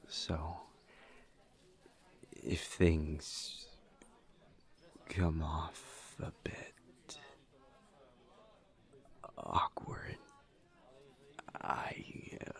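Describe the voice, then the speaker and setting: Man playing a slow, deep, hesitant young incubus. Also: little pitch movement, whispered, heavily acted. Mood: awkward, polite.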